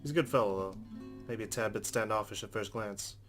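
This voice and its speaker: A man's voice: on the low side, a little fast, fairly bright tone. A man reading visual novel narration aloud as a let's player, with game music behind him. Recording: music under the voice. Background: game music with plucked guitar.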